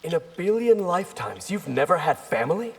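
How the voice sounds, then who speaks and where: A man's voice: high-pitched, big pitch swings, a little fast, vocal fry, reverberant, distant mic, music in the background, exaggerated voice. An actor playing a brash, teasing male fighter in a video game, with a cheeky, questioning manner. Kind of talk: video game character dialogue.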